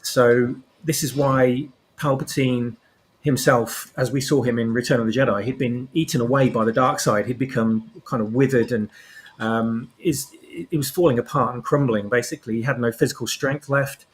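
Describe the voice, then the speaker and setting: A man's voice: mid-range voice, fairly even intonation, normal speed. A man giving conversational, reflective commentary as a panelist, explaining his ideas as he goes. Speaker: podcast guest panelist.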